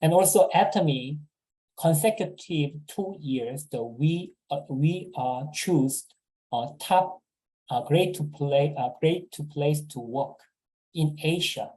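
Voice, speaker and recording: A man giving a sales seminar talk, halting and repeating words with many fillers. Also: a little high, warm tone, poor audio. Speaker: business presenter.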